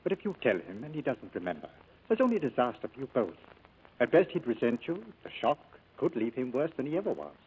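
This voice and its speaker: An actor playing a grave doctor and confidant in a 1940s radio drama, husky-voiced, solemn and emphatic. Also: male voice, medium pitch, sing-song, brisk, darkish tone, rough recording. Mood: grave, cautioning.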